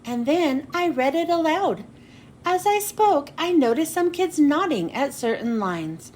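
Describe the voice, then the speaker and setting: A woman narrating a children's storybook expressively, with dramatic pauses and drawn-out phrase endings. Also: high voice, sing-song, darkish tone, rough recording. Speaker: children's book read-aloud host. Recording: noticeable background noise.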